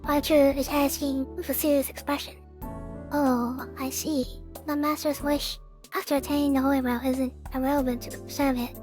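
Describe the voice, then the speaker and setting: A teenage girl doing a casual let's play, reading a visual novel aloud and commenting, with halting delivery and repeated words. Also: high voice, level tone, darkish tone, faint music behind.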